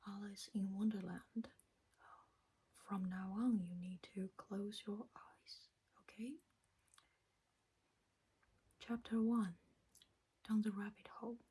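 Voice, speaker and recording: A woman voicing a gentle, soothing female vampire in a breathy whisper for an ASMR bedtime roleplay, speaking English as a second language. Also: mid-range voice, poor audio. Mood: soothing, hushed. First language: not English. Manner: slow, soothing, coaxing.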